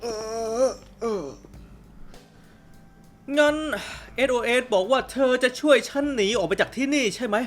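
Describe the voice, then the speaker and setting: A woman's voice: on the high side, measured pace, close to an everyday voice. A woman voicing a goofy, cocky but easily spooked young male hero in a game fan dub, hesitant and flippant. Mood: flustered. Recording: music behind the voice.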